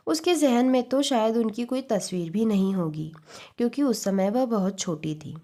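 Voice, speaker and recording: A woman voicing an earnest, protective middle-aged mother in a story reading, speaking in a measured, advising way. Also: fairly high pitch, lively intonation, mellow. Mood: earnest, calm.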